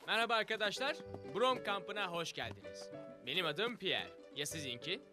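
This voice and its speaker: An actor playing a friendly, welcoming camp leader in a cartoon dub, speaking directly and brightly to children. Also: male voice, high voice, big pitch swings, normal speed, over music. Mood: welcoming, upbeat. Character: friendly, upbeat male summer camp leader. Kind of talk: Turkish dubbed preschool cartoon acting.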